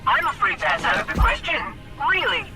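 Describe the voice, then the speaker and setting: A man's voice: very high voice, big pitch swings, a little fast, full character voice. A man playing a fussy, smug, eccentric robotic caretaker in a video game, prim, pedantic and theatrical. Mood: indignant. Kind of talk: video game cutscene voice acting. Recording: dramatic music behind the voice.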